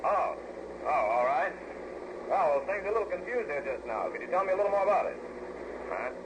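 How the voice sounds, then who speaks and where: A man's voice: high-pitched, lively intonation, brisk. An actor playing a flustered, irritable, shifty print-shop man in a 1940s radio drama. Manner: flustered, complaining, testy. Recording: noisy vintage radio recording.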